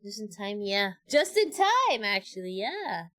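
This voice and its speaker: A woman's voice: mid-range voice, big pitch swings, measured pace, a bit bright, no room sound, clean studio sound. A woman with a Filipino accent, a game streamer chatting casually in a rambling way that often trails off, with game music behind her. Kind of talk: casual livestream chat.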